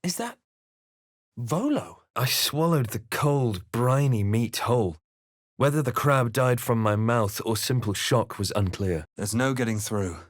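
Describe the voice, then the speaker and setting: A man playing a grave, wary fantasy adventurer, delivering scripted game lines in a measured, dramatic way. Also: medium pitch, expressive, no echo, studio quality. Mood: grave, intent.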